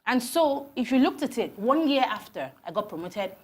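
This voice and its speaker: A Nigerian woman in her forties, a TV interview guest telling animated stories about her career with many restarts. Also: fairly high pitch, normal speed, husky. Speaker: guest, HR consultant. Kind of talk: TV interview conversation.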